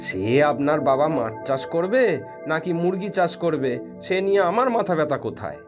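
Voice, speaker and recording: High-voiced, wry village doctor. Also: male voice, big pitch swings, fairly quick. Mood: exasperated, wry. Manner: matter-of-fact, drily teasing. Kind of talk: animated cartoon dialogue. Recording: music behind the voice.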